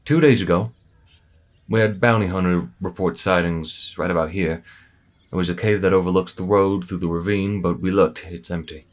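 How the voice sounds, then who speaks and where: A man's voice: low-pitched, steady tone, normal speed, close to an everyday voice. A man acting an easygoing, matter-of-fact soldier at a checkpoint in an audio roleplay, explaining things casually with a slight hesitancy. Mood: businesslike.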